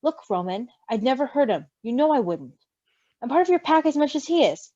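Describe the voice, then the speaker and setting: A woman voicing a gentle, wary, protective male creature in a storybook reading, with a reassuring, dry manner. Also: sits high, very animated, a little fast, dry recording, dull audio. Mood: coaxing, calm.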